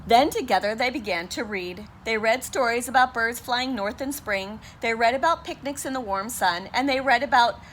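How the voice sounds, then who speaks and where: A woman's voice: a little high, normal speed, hardly any pauses, fairly bright tone, a little hiss or hum, low-quality recording. A woman teacher reading a picture book aloud to her class, sounding out and spelling words letter by letter.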